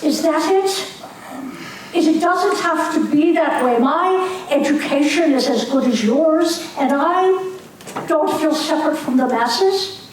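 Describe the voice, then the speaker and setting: Casual: older lady playing a teasing young irish nationalist, earnest, high voice, echoey room from far away. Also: unhurried. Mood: fervent. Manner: earnest, needling, preachy. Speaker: community theater actor. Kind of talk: amateur stage reading. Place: community stage hall. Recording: echoey hall, mic far off, faint hiss.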